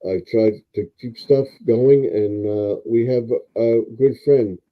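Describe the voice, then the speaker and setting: A man's voice: medium pitch, slow, narrow, tinny audio. A retired man in his seventies hosting a casual live stream, rambling with many fillers and restarts. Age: 70s.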